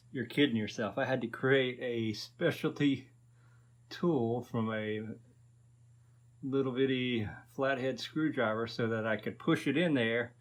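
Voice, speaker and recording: A man's voice: mid-range voice, fairly bright tone. A man in his fifties casually narrating a repair to viewers, thinking aloud in a folksy way. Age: 50s. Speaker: host of a repair video channel.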